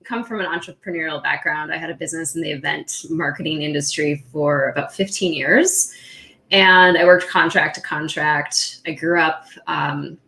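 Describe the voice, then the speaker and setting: A middle-aged woman guest, born in Austria and raised in California, talks through her career in a lively, casual way. Her voice sits a little low but rings bright and forward, and she laughs often as she goes. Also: gravelly. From born in Austria, grew up in California. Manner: upbeat, quick storytelling with frequent laughs. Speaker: podcast guest, social media marketer. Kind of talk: casual interview talk.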